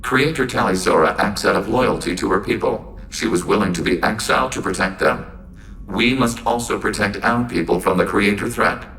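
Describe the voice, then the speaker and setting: An actor voicing a calm, logical synthetic soldier, speaking in flat, precise, formal sentences. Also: male voice, on the low side, moderate pace, slight background noise, poor audio. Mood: earnest. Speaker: squadmate. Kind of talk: video game voice acting. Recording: game audio with music behind.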